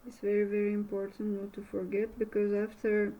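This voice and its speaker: A woman from Bulgaria with a Bulgarian accent, a native Bulgarian speaker, teaching an art method in a casual demo and often pausing to search for words in English. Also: medium pitch, completely flat delivery, muffled recording. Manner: slow, halting, searching for words. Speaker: art instructor.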